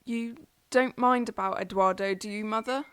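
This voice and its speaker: A woman's voice: medium pitch, steady tone, normal speed, fairly bright tone, clean tone, natural voice. A young woman playing an encouraging, hardworking farm daughter in an amateur audio drama. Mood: tentative.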